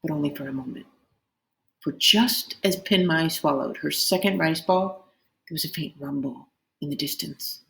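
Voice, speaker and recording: A woman reading a children's novel aloud in expressive, dramatic storybook narration. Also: low voice, moderate pace, creaky. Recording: roomy, echoing indoor sound.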